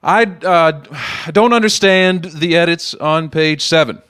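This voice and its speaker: A man acting in an audio drama as a middle-aged politician father, educated, defensive but caring, speaking in a conversational, gently questioning way. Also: a little high, measured pace, clear, resonant tone. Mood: puzzled, defensive.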